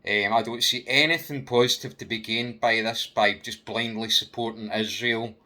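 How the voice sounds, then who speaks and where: A Scottish man giving an unscripted personal opinion rant in a halting way, repeating himself and putting questions straight to the listener. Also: mid-range voice, steady tone, sharp tone. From Scotland, UK.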